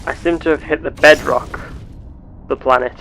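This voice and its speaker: A man voicing a curious, earnest space explorer with measured, formal, theatrical phrasing, narrating a sci-fi game roleplay. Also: on the high side, steady tone, bright, forceful tone, a little hiss or hum. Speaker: gameplay host.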